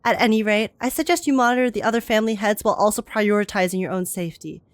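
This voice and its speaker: A woman's voice: mid-range voice, fast talker, no echo. A woman streamer playing a video game, reacting casually and reading game text aloud. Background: faint game music.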